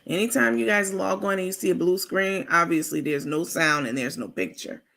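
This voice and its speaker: A woman with a raspy voice hosting a casual livestream, chatting informally with viewers and reading out their comments. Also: lowish voice, normal speed, fairly bright tone. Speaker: livestream host.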